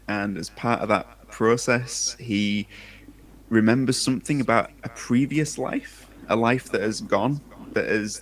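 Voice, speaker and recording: A man's voice: mid-range voice, poor audio. A man who is an author chatting casually on a livestream in a rambling, informal, self-deprecating way. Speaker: debut sci-fi author, livestream guest. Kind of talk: casual chat.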